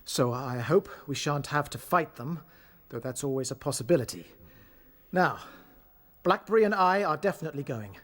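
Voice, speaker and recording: Lightly high-voiced British rabbit leader. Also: male voice, lively intonation, normal speed, fairly bright tone. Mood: resolute. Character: kindly, resolute young male rabbit leader. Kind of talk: radio drama acting.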